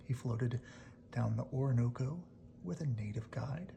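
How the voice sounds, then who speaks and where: A storyteller reading a picture book aloud in a hushed bedtime style, often dropping to a whisper. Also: medium pitch, a little slow, gravelly, slight background noise.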